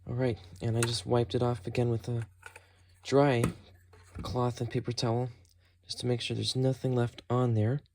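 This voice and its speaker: A man's voice: mid-range voice, flat delivery, moderate pace, darkish tone, a little hiss or hum. Man casually talking through a hands-on repair. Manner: thinks aloud while working. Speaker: host of a repair tutorial.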